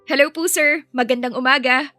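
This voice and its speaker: A woman's voice: high voice, steady tone, moderate pace, pushed and bright, dry recording, faint music behind, voice pushed up. A woman voicing a perky, affectionate, cheeky young nanny with a chirpy, doting manner in a dramatised story.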